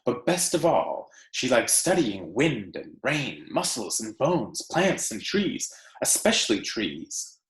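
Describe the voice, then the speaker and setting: A man reads to young students like a teacher at story time. His pitch moves up and down freely over slow, deliberate phrases. The room adds a noticeable echo around his voice. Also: big pitch swings. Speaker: teacher reading aloud to students. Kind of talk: children's read-aloud with casual asides.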